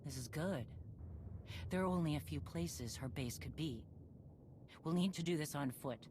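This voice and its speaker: Very deep-voiced, stern warrior woman played by an actress. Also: natural voice. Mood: stern, resolute. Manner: commanding, terse, measured. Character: stern, battle-hardened female warrior leader. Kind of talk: video game cutscene acting. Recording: rumbling background noise.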